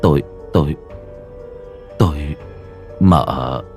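A man's voice: fairly low pitch, sing-song, normal speed, vocal fry, faint music behind. A man voicing a humble, kind but fearful male servant in an audio story, stammering apologies with deference. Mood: flustered.

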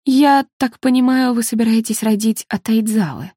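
Narrator lady doing a sad fragile woman, quiet slow and hesitant, audiobook, very dry sound. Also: on the high side, breathy edge, no echo.

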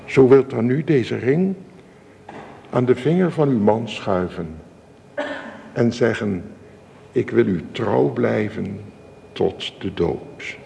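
Solemn, slow-speaking minister in a reverberant hall. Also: male voice, mid-range voice, warm tone. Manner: formal, measured, ceremonious. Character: solemn male church minister conducting a wedding. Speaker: minister officiating a wedding. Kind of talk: solemn radio drama wedding liturgy. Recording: reverberant church-like hall, clear hiss.

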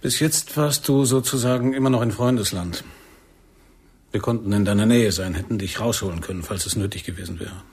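Very husky, serious secret agent. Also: male voice, lowish voice, fairly quick, a little mellow. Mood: grave, cautioning. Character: serious, protective male secret agent and lover. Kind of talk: radio play acting.

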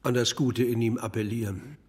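A man's voice: medium pitch, fairly even intonation, normal speed, a little mellow, lightly acted. A man playing a wry, gossipy small-town club regular in a naturalistic audio drama, laid-back in manner. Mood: wry, musing.

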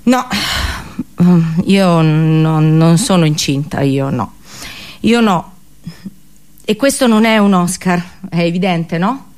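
A woman actor delivering a theatrical radio drama monologue, dramatic and halting, with deliberate pauses and repetitions. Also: on the low side, darkish tone, clean tone. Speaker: actress performing a monologue.

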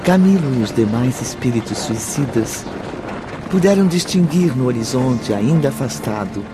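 A man narrating a scripted radio drama in a measured, solemn storytelling manner. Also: mid-range voice, expressive, music in the background.

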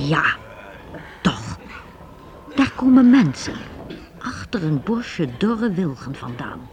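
Slow, expressive radio play narrator. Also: medium pitch, slight background noise. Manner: expressive, suspenseful, deliberate. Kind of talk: dramatic storybook narration.

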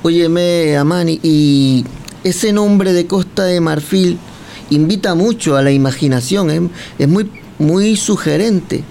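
A man's voice: fairly high pitch, vocal fry, warm tone, slight background noise. A man from Cuba, chatting and teasing as he questions a guest and tells long stories. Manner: chatty, teasing, drawn-out storytelling. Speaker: guest interviewer. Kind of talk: casual chat.

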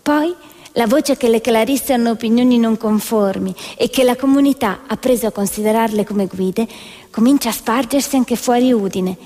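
A woman's voice: a little high, darkish tone. A woman actress delivering scripted theatrical narration in a declamatory storytelling manner. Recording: reverberant hall with music behind. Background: music.

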